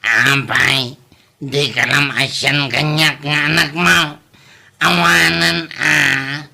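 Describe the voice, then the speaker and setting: A man playing a grown son bickering with his mother in an Ilocano comedy drama, with a gravelly, forceful voice and a slightly noisy recording. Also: on the high side, fairly even intonation, slow pace, heavily acted. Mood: whiny protest.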